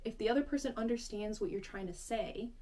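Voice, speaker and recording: A woman's voice: fairly high pitch, brisk, warm tone. Encouraging woman teaching language basics. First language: Finnish. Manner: friendly, encouraging, explains step by step. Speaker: language teacher and channel host. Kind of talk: casual explanatory lesson to camera.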